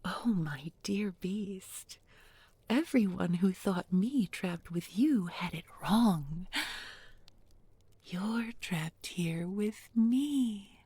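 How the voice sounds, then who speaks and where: A woman's voice: mid-range voice, expressive, mellow, slightly rough, no echo, heavily acted. A woman playing a bookish village beauty turned sly, menacing captor in an intimate audio roleplay, speaking with mock tenderness and often dropping to a whisper. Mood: sly, possessive. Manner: deliberate, coaxing, mock-tender with pointed irony. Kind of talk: intimate audio roleplay spoken to one listener.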